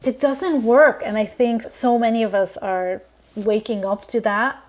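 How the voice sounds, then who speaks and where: A woman's voice: fairly high pitch, fairly even intonation, measured pace, rough recording. A woman from Lebanon talking as a podcast guest in an earnest, reflective way, with many fillers and restarts. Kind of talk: casual conversation.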